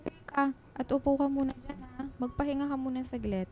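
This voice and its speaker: A woman's voice: sits high, fairly even intonation, fairly quick, dark tone, faint music behind. A woman playing a kind, protective lady employer in a radio drama, chatty and concerned. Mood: caring. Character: kind, protective middle-class employer, a single mother. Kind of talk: Filipino radio drama acting.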